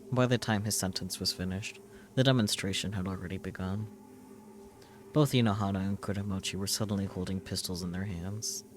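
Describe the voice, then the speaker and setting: A man streaming a visual novel, reading its narration aloud in a deliberate storytelling way. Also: low-pitched, slight background noise.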